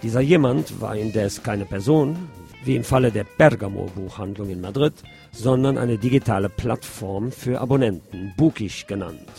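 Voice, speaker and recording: Low gravelly-voiced male radio host. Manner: measured, opinionated, unhurried. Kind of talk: radio news commentary.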